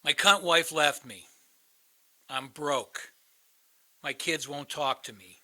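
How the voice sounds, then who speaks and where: A man's voice: fairly high pitch, takes it slowly, sharp tone, full character voice. An actor playing a bitter, sardonic man in a radio play, blunt and sarcastic. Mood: scornful, bitter. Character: bitter, sardonic man, crisis counselor posing as suicidal caller.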